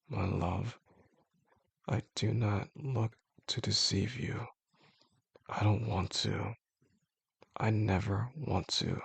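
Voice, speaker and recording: A male voice actor playing an obsessive, calmly menacing boss in an intimate audio roleplay, speaking in a slow, deliberate, coaxing way with formal wording and a gravelly, husky voice. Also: sits low, no room sound, exaggerated voice. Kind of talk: intimate scripted roleplay monologue.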